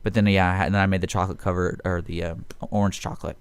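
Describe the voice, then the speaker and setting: A man's voice: low voice, level tone, very fast, clear, resonant tone, no echo. An American man co-hosting a radio show, chatting casually and rambling through anecdotes at a close studio mic. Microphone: close studio microphone.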